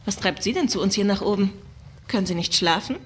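A woman's voice: mid-range voice, fairly quick, breathy edge, close to an everyday voice. A woman playing a spirited starship navigation officer in a science-fiction radio play. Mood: teasing. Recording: music behind the voice.

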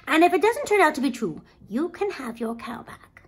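A woman's voice: sits high, very animated, normal speed, voice pushed up. A woman voicing a sly old male trader in a storybook, coaxing and persuasive.

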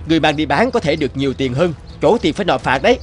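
A man's voice: on the high side, expressive, racing, faint music behind, natural voice. A man playing an upright, kind young magistrate in a folk-tale cartoon, formal and righteous. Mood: kind, courteous. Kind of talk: cartoon voice acting.

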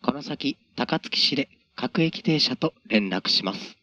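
A man's voice: medium pitch, animated, measured pace, bright, forceful tone, no room sound. A man working as a train conductor, giving formal, routine announcements in set phrases over the train's address system. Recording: dull lo-fi train PA.

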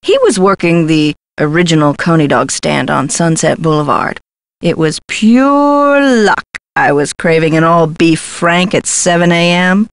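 A woman's voice: deep voice, expressive, a little slow, no echo. A woman voicing a brash, scheming Hollywood talent agent in a video game, glib and condescending, with slangy talk. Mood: slick, self-assured.

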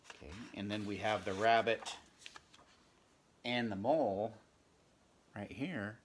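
A middle-aged man reading a picture book aloud at home, expressive, pausing to chat about the pictures and dropping into whispers. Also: on the low side, a little hiss or hum. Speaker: read-aloud storyteller. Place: home room, indoors.

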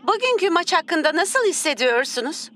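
An actress playing a polished, showy TV sports reporter in a cartoon dub, presenting with emphasis. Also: female voice, very high-pitched, sing-song, moderate pace, a bit bright, full character voice. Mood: inquisitive.

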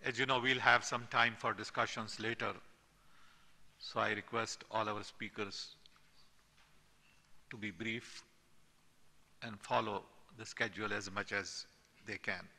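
A man's voice: fairly low pitch, fairly even intonation, measured pace, creaky, long pauses, pushed and bright. A man moderating a conference panel, giving brief, formal introductions of the speakers. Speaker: session moderator. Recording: distant in reverberant hall.

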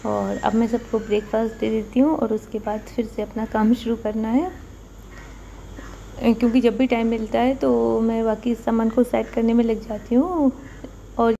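A woman in her thirties chats through a home vlog in a level, unhurried way. Her voice sits a little high but mellow, with little rise and fall. She records on a phone indoors, so the sound is slightly dull. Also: gravelly. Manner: chatty, explains daily chores at an even pace. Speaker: vlogger. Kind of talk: casual home vlog narration. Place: apartment room, indoors. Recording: slightly dull phone audio with faint room noise.

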